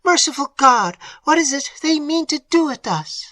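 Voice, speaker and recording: A reader voicing Madame Montoni, an imperious, anxious older noblewoman with a sharp, shrill manner. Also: wildly swooping pitch, a little slow, dry recording, full character voice. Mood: alarmed.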